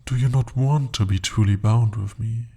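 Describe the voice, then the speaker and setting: A man voicing a breathy, possessive bound demon. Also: mid-range voice, steady tone. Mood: possessive, insistent. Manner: intimate, soft-spoken, slow and deliberate. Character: jealous, possessive male demon bound to a sorcerer. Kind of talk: ASMR roleplay.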